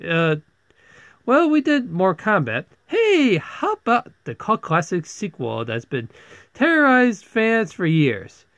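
A man hosts a casual review in a fairly high, very animated voice. He sounds exasperated and exclaims often, tripping over words as he rambles. The recording is dry and close, with a slightly dull, lo-fi tone. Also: takes it slowly, no room sound. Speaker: host of a video review channel.

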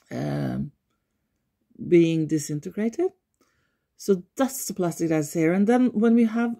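A Norwegian woman talking unscripted in her living room, pausing often as she searches for words. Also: mid-range voice, mellow. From Norway. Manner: thoughtful, searches for words, frequent pauses. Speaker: environmental vlogger. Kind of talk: unscripted talk to camera.

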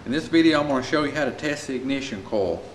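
American male repair instructor, mid-pitched, practical and informal, hands-on tutorial delivery, reverberant shop recording. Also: lively intonation, fast talker. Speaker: small engine repair instructor. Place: indoor repair shop at a workbench. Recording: echoey room with clear background noise.

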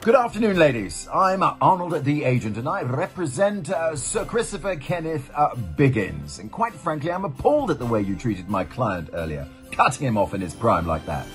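Man playing a lively, cheeky showbiz agent. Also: mid-range voice, moderate pace, hardly any pauses, faint music behind, natural voice. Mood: mock indignant. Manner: mock-formal, flippant. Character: brisk, money-grubbing showbiz agent, smooth and cheeky. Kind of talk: comic pantomime acting.

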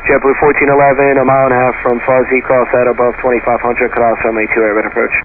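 Brisk male air traffic controller on tinny radio. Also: mid-range voice, monotone. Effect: radio transmission. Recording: tinny, noisy aviation radio.

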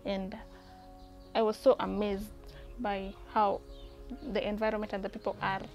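A woman's voice: mid-range voice, flat delivery, normal speed, darkish tone, soft background music. Earnest, level-voiced young woman. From South Sudan. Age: young adult. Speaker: coding student. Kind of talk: interview testimonial. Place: outdoor terrace. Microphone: lapel mic.

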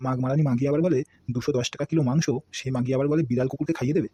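A man's voice: medium pitch, fairly even intonation, racing, natural voice. A man voicing a foul-mouthed, hot-tempered middle-aged father in a comic cartoon, grumbling and cursing. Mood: ranting. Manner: crude, grumbling, shouts threats. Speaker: voice actor. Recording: noisy homemade recording.

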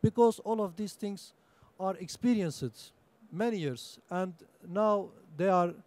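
A young man whose first language is Kurdish, speaking at a book-fair panel into a table microphone, halting with many fillers and restarts. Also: sits high, a little mellow. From Turkey (Kurdish). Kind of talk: panel discussion talk. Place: conference room at a book fair.

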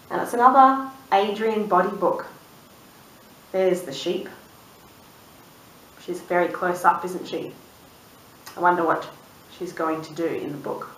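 A woman in her thirties reading a picture book aloud to young children, expressive and sing-song, drawing out words and putting questions to the listener. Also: lowish voice, takes it slowly, long pauses, slight background noise. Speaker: children's storyteller and channel host. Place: indoors in a room, seated before a dark backdrop. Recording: roomy, distant microphone.

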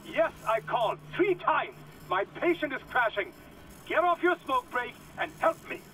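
An actor playing an exasperated medic urgently shouting for help in a video game audio log. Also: male voice, extremely high pitch, very animated, moderate pace. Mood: frantic, exasperated. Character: frantic medic demanding emergency help, exasperated. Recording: distant, faintly noisy comms recording.

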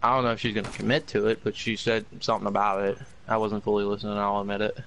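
A man's voice: on the low side, flat delivery, fast, fairly bright tone, slight background noise, rough recording. A man chatting casually during a team shooter, throwing out slangy, joking callouts to his friends. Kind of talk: casual gaming chat.

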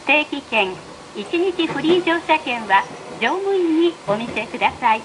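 A woman's voice: high-pitched, expressive, a little slow. A woman reading a scripted train announcement in a formal, evenly enunciated way, over the carriage speakers. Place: played over speakers inside a moving train. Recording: train PA with clear running noise. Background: train running noise.

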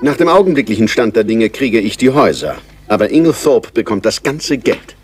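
An actor playing a resentful upper-class English son, matter-of-fact and slightly sardonic, in a radio play. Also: male voice, medium pitch, very animated, fairly quick, slightly rough.

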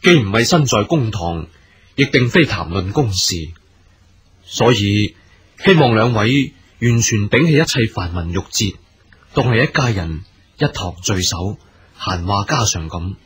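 A man's voice: fairly low pitch, animated, measured pace, a bit bright, husky, heavily acted. A man acting a courteous, earnest military commander in a period radio drama, speaking formally in Hong Kong Cantonese. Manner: formal, stately, gracious.

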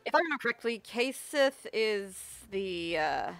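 A woman chats her way through a video game, her voice a little raspy and strained. She talks at a middling pitch with quick asides to herself and the viewers. Faint game music plays behind her. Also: big pitch swings, fairly bright tone. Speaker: gamer playing a game on video. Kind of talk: casual let's play commentary.

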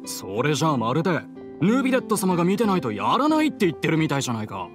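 An actor playing a dutiful, good-natured police partner in a Japanese video game, casual but earnest. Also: male voice, on the high side, wildly swooping pitch, fast talker, over music.

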